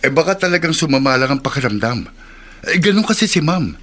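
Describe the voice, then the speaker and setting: A household worker in a radio drama. He is reassuring and advisory, speaking in a moderately high male voice. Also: very animated, a little fast, sharp tone, full character voice. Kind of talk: Tagalog radio drama acting.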